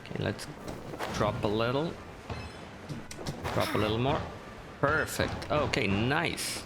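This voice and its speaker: A man's voice: lowish voice, slow pace, vocal fry, very noisy. A man giving casual, laid-back gameplay commentary, muttering to himself as he plays. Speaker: gamer. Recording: game music behind voice. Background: game music.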